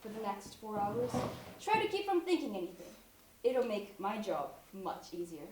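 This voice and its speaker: A woman's voice: mid-range voice, animated, normal speed, darkish tone, close to an everyday voice. Woman acting haughty, bossy organizer, distant echoey stage. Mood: haughty, brisk. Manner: curt, haughty, commanding, precise. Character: imperious, expensive professional closet organizer, bossy and brisk. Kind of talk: one-act stage comedy acting. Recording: echoey stage from far off, noisy.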